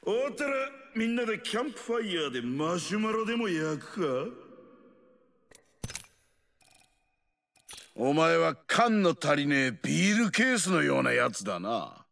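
An actor playing a brash, cocky ageing brawler in a video game, with rough, taunting talk and a gravelly voice. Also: male voice, on the high side, sing-song, moderate pace, few pauses, a bit bright, full character voice. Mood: cocky, swaggering. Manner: rough, swaggering, taunting slang. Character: brash, rowdy, cocky ageing male explosives-loving brawler. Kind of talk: video game character voice lines.

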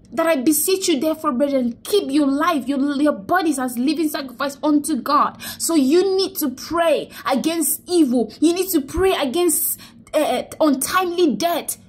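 A young woman with a high voice records herself on a phone held close, indoors. She mourns and exhorts by turns, saying phrases again and again as if she were preaching a sermon. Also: moderate pace, a little mellow. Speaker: vlogger. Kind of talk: emotional selfie vlog, preachy. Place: indoors, small room. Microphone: phone held close to face.

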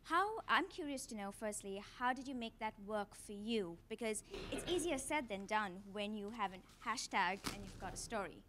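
A woman's voice: medium pitch, expressive, normal speed, hardly any pauses, background noise. A young woman in a conference audience asking a panelist a question, with a few hesitant fillers, into a handheld mic. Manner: polite, with some hesitant fillers. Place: conference room audience, indoors.

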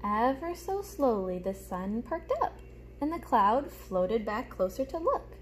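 A young adult woman reading a storybook aloud to children like a teacher, with animated, explanatory asides, recorded in a room at home. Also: on the high side, measured pace. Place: small room at home, indoors. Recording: clear background noise, room ambience.

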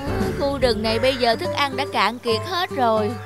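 A woman's voice: a little high, lively intonation, normal speed, music in the background, close to an everyday voice. A woman voicing a hungry, scheming, impatient cartoon fox with a menacing, gloating manner. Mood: hungry, grumbling. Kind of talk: animated cartoon dialogue.

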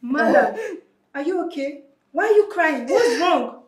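An actress playing a policewoman gently coaxing a crying woman to talk. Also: sits high, expressive, measured pace, reverberant. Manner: coaxing, concerned questions.

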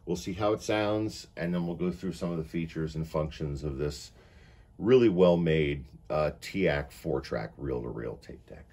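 A man's voice: very deep voice, normal speed, warm tone, a little hiss or hum. A man giving a casual product demonstration as he shows off equipment indoors. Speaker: demonstrator of a reel-to-reel tape deck. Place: indoors, in a wood-panelled room.

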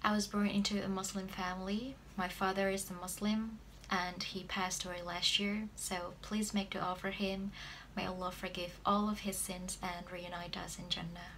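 Indonesian accent girl in her 20s telling her story to camera, medium pitch, bit of background noise. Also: flat delivery, normal speed, fairly bright tone. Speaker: vlogger telling personal story. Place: small plain room indoors.

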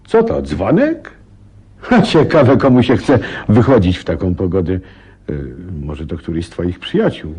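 A detective's genial companion in a radio play. The voice is low and very husky, telling the tale with dramatic, expressive swings. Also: male voice, heavily acted. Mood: curious. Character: Holmes's companion, genial male narrator and host. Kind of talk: radio drama acting and storytelling narration.

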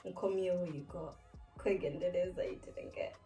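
Lively, teasing female guest in her twenties, animated delivery, low-pitched, heard from a distant camera in a roomy room. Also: normal speed, faint music behind. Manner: lively, teasing, laughs often. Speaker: guest quizzing her sister. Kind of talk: casual chat, quiz game. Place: living room, indoors. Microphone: far camera.

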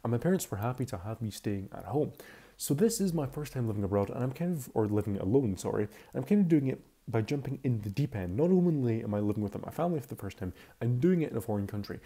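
Low, gravelly young Scottish man. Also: lively intonation, quick pace, warm tone. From Scotland. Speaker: video commentator. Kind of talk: casual gameplay voice-over commentary.

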